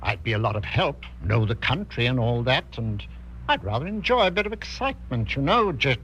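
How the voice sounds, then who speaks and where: An actor playing an eager, genial retired colonel, older, hesitant and politely old-fashioned, in a 1940s radio drama. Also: male voice, mid-range voice, sing-song, fairly quick, fairly bright tone. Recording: dull, noisy old radio recording.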